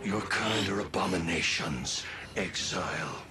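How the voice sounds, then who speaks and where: Superhuman warlord for a game cutscene: cold, menacing, deep, drags each phrase out. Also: male voice. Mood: cold contempt. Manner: slow, ominous, drawn-out delivery. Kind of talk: video game cutscene acting. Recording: loud music over the voice.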